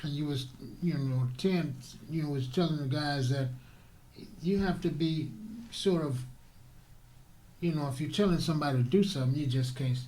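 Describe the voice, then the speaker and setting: A soft-spoken man interviewing a guest in casual conversation, often pausing and restarting. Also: fairly high pitch, low-quality recording. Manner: soft-spoken, halting, frequent affirmations.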